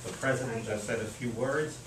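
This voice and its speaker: A man's voice: medium pitch, fairly even intonation, brisk. An older man, hosting a class lecture and introducing a guest in a casual, friendly way, with fillers. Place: small classroom. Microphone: far camera. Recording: echoey room from far off, noisy.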